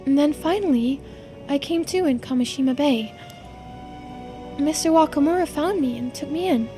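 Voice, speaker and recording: A woman's voice: high voice, normal speed, mellow, faint music behind, natural voice. A woman voicing a girlish, earnest and resigned immortal character in a visual novel. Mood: distressed recollection.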